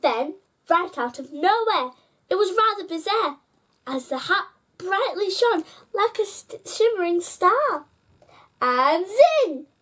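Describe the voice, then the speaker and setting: Female storyteller with a high-pitched voice for children's bedtime picture books, engaging young listeners directly. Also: very animated, takes it slowly, a bit bright. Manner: addresses child listeners with prompting questions. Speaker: host reading children's picture books aloud.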